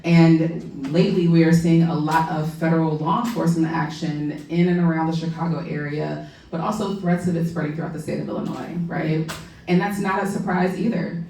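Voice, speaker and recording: A woman policy lawyer with a loud voice, speaking earnestly and conversationally to a community audience, with frequent "um" and "right?". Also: fairly low pitch, a little hiss or hum. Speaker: policy lawyer giving a public talk. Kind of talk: public talk to a community audience. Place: public library meeting room. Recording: echoey room, mic far off.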